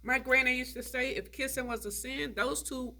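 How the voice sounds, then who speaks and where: A woman's voice: sits high, fairly even intonation, normal speed, bright, forceful tone, exaggerated voice. A woman reading the part of a haughty, tart, nostalgic older society lady in a stage play. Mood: teasing. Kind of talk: stage play table read.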